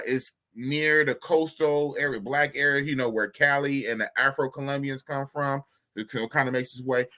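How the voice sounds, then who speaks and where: A man hosting a casual live podcast chat, joking around and reading viewer comments aloud. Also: mid-range voice. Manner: animated, jokey, reads chat comments aloud. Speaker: podcast host.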